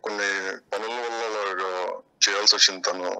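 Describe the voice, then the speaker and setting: Male interviewee, very sharp bright tone, slightly low pitch, guarded and halting with repetitions. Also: steady tone, smooth, rough recording. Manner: defensive, evasive, halting repetitions. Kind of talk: spontaneous interview answers.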